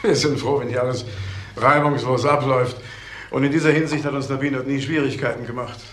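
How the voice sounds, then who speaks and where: An actor playing a matter-of-fact prison official in a crime drama, businesslike and obliging. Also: male voice, mid-range voice, steady tone, a little fast, fairly bright tone, natural voice. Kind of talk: German TV crime drama acting. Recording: roomy echo, faint noise.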